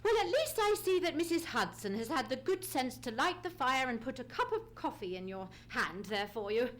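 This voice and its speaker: A woman playing a courteous, self-assured gentleman detective in a live radio play, with formal, deductive delivery. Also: high-pitched, sing-song, moderate pace, a little hiss or hum. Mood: courteous, composed. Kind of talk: live old-time radio play acting. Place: live on a theatre stage.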